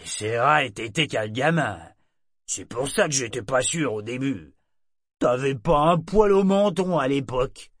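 A man's voice: medium pitch, lively intonation, unhurried, a bit bright, no room sound, studio quality, pitched above the performer's own voice. A man voicing a drunken, gap-toothed old sailor who rambles and slurs his words. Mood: boozy, rambling. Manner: slurred, mumbling drunken talk.

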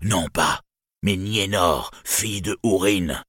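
A man playing an anguished, bitter healer in a fantasy storybook, speaking gravely and emphatically. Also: deep voice, slow, fairly bright tone, no echo, studio quality, exaggerated voice. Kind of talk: dramatic fantasy storybook reading.

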